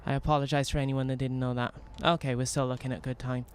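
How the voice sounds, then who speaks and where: A young man from Wales with a softened Welsh accent, streaming a role-playing game in rambling, self-mocking chat full of restarts. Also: medium pitch, little pitch movement, fast talker, a little hiss or hum. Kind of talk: casual in-character role-play commentary.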